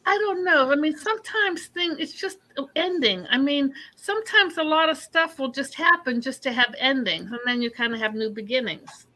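A woman's voice: high voice, expressive, moderate pace, hardly any pauses, bright, forceful tone, poor audio. A woman chatting casually on a live show, rambling about her life and calling people "honey". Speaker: psychic host.

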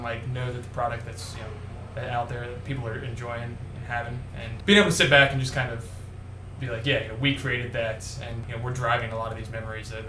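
A man who works as a brewer, answering in a casual interview and talking haltingly, with many restarts. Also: medium pitch. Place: brewery taproom. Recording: echoey room, faint noise.

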